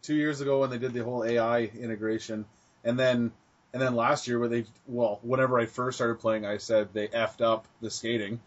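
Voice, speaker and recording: A Canadian man co-hosting a gaming podcast in a relaxed, conversational tone with some dry humor. His voice sits at a middling pitch, and the recording sounds dull and lo-fi. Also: brisk. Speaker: podcast co-host. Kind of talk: casual gaming chat.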